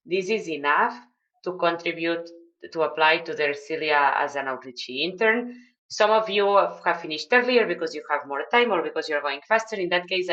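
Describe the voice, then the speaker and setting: Low-voiced woman with a Spanish accent. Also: a bit bright, highs cut off. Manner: explanatory, with frequent fillers and restarts. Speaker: project mentor. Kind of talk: online video call briefing. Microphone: video call.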